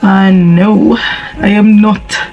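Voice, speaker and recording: A young woman from Nigeria with a husky voice, chatting casually and rambling with slang and deadpan humor while answering viewer questions. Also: medium pitch, slow, lo-fi sound, soft background music. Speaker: vlogger. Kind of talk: casual chat while doing makeup.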